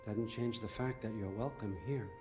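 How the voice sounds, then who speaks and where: An actor playing a controlling old god in a video game, courteous but quietly menacing, with a measured, insinuating, faux-friendly manner. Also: male voice, lowish voice, fairly even intonation, natural voice. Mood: calm, reassuring. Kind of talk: cinematic video game acting. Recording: music behind the voice.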